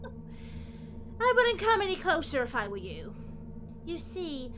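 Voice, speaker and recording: High-voiced, slow, gloating villainess. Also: female voice, big pitch swings, noisy background, exaggerated voice. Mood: gloating menace. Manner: mocking, melodramatic, drawn-out taunting delivery. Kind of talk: sci-fi fan audio drama acting.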